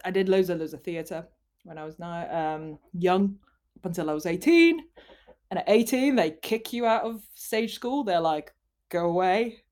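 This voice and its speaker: Young woman, slightly low voice, from Finland and Oxford, casual interview chat, animated, self-deprecating. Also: normal speed. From Finland and Oxford, England. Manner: animated, self-deprecating, acts out imagined quotes. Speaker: interview guest, actor and writer.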